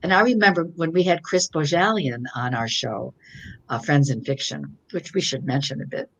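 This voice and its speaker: An older woman speaks in a very low, slightly gravelly voice over a video call. She chats in a loose, friendly way, trailing off mid-thought and circling back. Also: moderate pace. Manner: chatty, trailing off and restarting. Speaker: author guest. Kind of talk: casual conversation on a virtual event. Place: home, virtual call.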